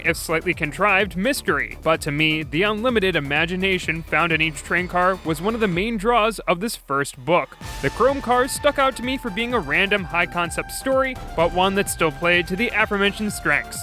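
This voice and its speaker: A man's voice: high-pitched, pushed and bright. A man reading a scripted video review as its host and narrator. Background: background music.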